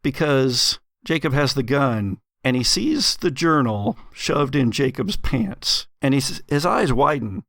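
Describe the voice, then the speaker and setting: A man narrating gothic horror as game master, with measured, dramatic, atmospheric storytelling. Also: mid-range voice, expressive, a bit bright, dry recording, clean studio sound.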